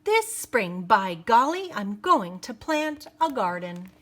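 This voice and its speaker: A woman's voice: fairly high pitch, very animated, slow, a bit bright, full character voice. A woman reading aloud, voicing a fussy male gardener with emphatic, rising exclamations. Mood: cheerful. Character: fussy male gardener, grows angry at bunnies.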